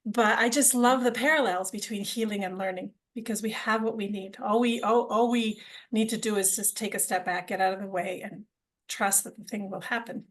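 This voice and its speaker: A woman's voice: medium pitch, fairly even intonation, normal speed. A middle-aged woman originally from Argentina, telling her story as a podcast guest in an enthusiastic, conversational way, often repeating words as she restarts.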